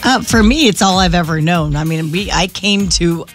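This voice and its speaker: A woman's voice: fairly low pitch, moderate pace, faint music behind. Earnest female radio co-host. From Taiwan. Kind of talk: casual morning radio banter. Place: radio studio.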